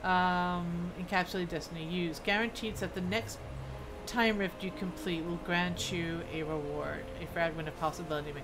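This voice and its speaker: A woman's voice: fairly low pitch, steady tone, moderate pace, background noise. A woman streamer giving casual, chatty live commentary on a video game, reacting aloud and reading bits of quest text.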